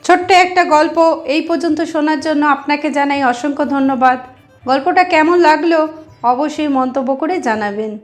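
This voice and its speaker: A woman's voice: high voice, moderate pace, faint music behind. A woman narrating a moral story as a channel host, explaining things directly to her listeners.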